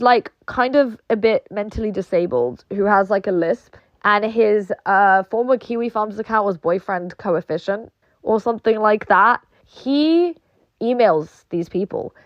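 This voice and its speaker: A woman's voice: mid-range voice, no echo, lo-fi sound. A young woman with a British accent ranting casually, swearing a lot and filling her speech with "like", mimicking people she argues with. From not British; moved to England. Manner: swears often, many "like" fillers, mocking quoted voices.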